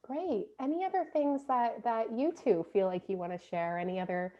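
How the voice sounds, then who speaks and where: A woman's voice: on the high side, normal speed, lo-fi sound, a little hiss or hum. A woman moderating an informal arts discussion with casual, conversational questions. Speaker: moderator, play director.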